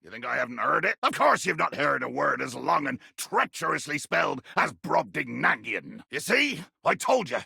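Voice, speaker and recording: An actor playing a tiny, furious, grandiose sea captain in a video game, with a raspy, gravelly voice and theatrical roaring insults. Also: male voice, fairly high pitch, sing-song, normal speed, few pauses, sharp tone, no room sound, clean studio sound, exaggerated voice. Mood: scornful. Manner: bombastic, theatrical, archaic nautical insults and sudden roars. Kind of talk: video game character acting.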